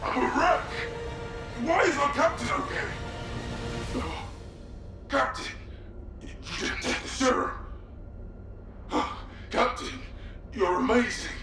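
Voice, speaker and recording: An actor playing a distraught young male soldier coming unraveled, with slow, theatrical, creaky delivery in a video game drama. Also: big pitch swings, exaggerated voice. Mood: tormented, mocking. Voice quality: creaky, high-pitched. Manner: slow, theatrical, swinging widely in pitch. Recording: distant, very echoey, music behind.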